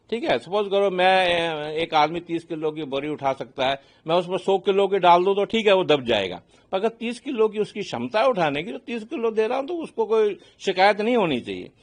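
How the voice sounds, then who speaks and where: An Indian man with a somewhat raised pitch gives expert commentary in an interview. He explains in a teacherly manner, leaning on everyday examples and little check phrases. He slips between two languages as he goes. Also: expressive, moderate pace. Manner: mixes English phrases into Hindi. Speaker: aviation consultant, expert guest.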